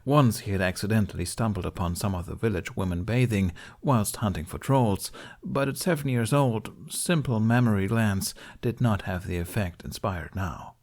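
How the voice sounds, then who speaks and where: A man's voice: lowish voice, moderate pace, hardly any pauses, a little mellow. A man reading a scripted story as an audiobook narrator.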